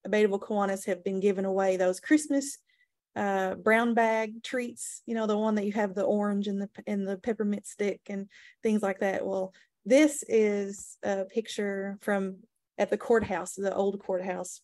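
A woman's voice: medium pitch, fairly even intonation, moderate pace, darkish tone, no room sound. A woman talking informally about local history on a video call. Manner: chatty, informal storytelling. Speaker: tourism director. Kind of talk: webinar presentation.

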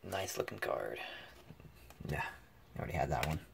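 A man's voice: extremely low pitch, expressive, normal speed, vocal fry, very noisy. A man giving casual unboxing commentary in short, muttered, fragmentary remarks. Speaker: card pack opening host. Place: indoors at a table covered with cards.

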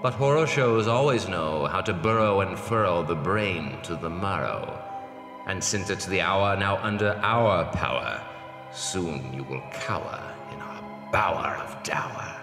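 Creepy horror-show announcer: unhurried, ominous, theatrically swooping, drenched in echo. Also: male voice, low-pitched, expressive. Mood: creepily playful. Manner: slow, ominous, relishing wordplay. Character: sinister rhyming horror announcer, playfully creepy. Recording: echoey long reverb, loud music bed.

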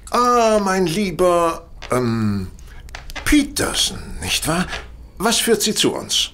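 An actor playing a shrewd, self-assured gentleman detective, speaking eloquently and courteously in an audio drama. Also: high voice, big pitch swings, takes it slowly, slight background noise, exaggerated voice. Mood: genial, amused. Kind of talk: German audio drama acting.

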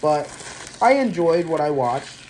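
A man's voice: a little high, big pitch swings, measured pace, darkish tone, slight background noise, rough recording. A young man in his twenties, stuffy from allergies, rambling casually through a movie unboxing in a small bedroom, recorded on a close webcam mic. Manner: rambling, filler-heavy, easygoing. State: congested from allergies. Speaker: movie collector vlogger.

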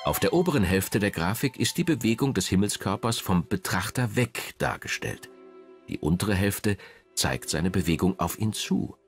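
A man narrating a scripted documentary in a measured, dramatic way. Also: on the low side, big pitch swings. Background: background music.

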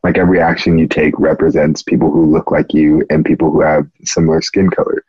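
A teenage boy with a very low, husky voice, speaking in a measured, earnest way. The audio is dull and dry, the kind that comes from a home webcam call. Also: level tone, no echo. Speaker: interviewee. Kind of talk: documentary interview.

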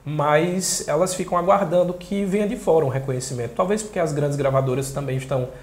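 He is a man with a soft, fairly high voice and a northeastern Brazilian accent from Pernambuco. He talks casually, as a guest in an interview. The small room adds a clear echo. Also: a little fast. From Caruaru, northeastern Brazil. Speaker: interview guest, singer-songwriter and journalist.

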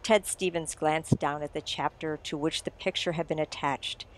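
A woman playing a suave mystery writer in a radio drama, telling the tale in a deliberate, teasing, theatrical manner. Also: low-pitched, steady tone, lightly acted, rough recording. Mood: dramatic storytelling. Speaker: audio drama actor. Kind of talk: old-time radio mystery narration.

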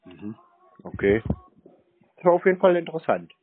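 A man's voice: a little high, very animated, moderate pace, slight background noise. Male scam-baiter, unruffled and dryly needling, casual delivery, telephone-band audio. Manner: unfazed, dryly provoking, nonchalant. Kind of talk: casual phone conversation. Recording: dry, narrow phone-like sound.

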